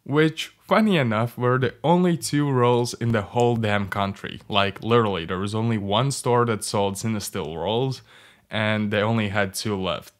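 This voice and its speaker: A man's voice: on the low side, normal speed. A young man from Latvia, chatting casually and joking through a travel vlog with a few swear words. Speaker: vlogger.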